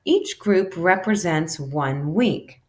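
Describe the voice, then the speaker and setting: A woman reads a children's educational graphic novel aloud. Her pace is slow and deliberate, and her slightly low voice moves with plenty of expression as she shifts between character lines. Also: animated. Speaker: teacher reading a children's math book aloud.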